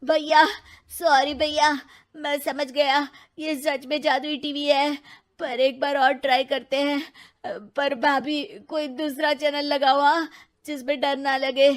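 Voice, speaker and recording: A woman's voice: high voice, takes it slowly. A woman voicing a jumpy scared younger brother. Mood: sheepish. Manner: panicky, pleading, excitable.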